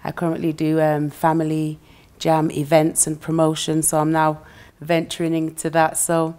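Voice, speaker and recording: A woman's voice: sits low, monotone, normal speed. A woman from England, chatting as a guest musician and laughing easily between phrases. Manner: warm, chatty, laughs between phrases, uses "you know" a lot. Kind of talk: relaxed interview chat.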